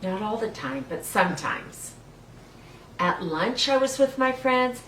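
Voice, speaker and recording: Older female children's storyteller, playful, simple and encouraging delivery, mid-pitched, recorded in a roomy space with faint noise. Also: lively intonation, unhurried, a bit bright, distant mic. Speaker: children's story time host. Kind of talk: read-aloud storytelling with chat to young children.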